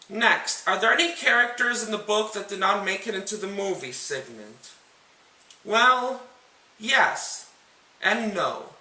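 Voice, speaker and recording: Bright, high-voiced male host in echoey room. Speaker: narrator and host. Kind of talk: scripted book and film comparison narration.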